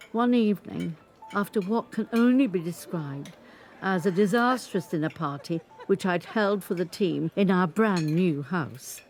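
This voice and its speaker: An eighty-five-year-old woman, a dignified survivor recounting her married life. Her voice sits on the low side and moves with lively, expressive inflection. She speaks in measured, articulate sentences as in an audio drama monologue, over instrumental guitar music. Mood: grave, bitter. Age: older. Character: 85-year-old grandmother, abused former footballer's wife, dignified survivor. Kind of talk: dramatic first-person monologue, scripted storytelling. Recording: studio recording with guitar music underneath.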